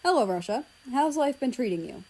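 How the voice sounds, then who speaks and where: Woman as polite British dad in a story reading: measured, kindly, a touch stern, British accent. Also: high-pitched, big pitch swings, dark tone, pitched above the performer's own voice, heavily acted. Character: polite, fatherly British man, gently stern.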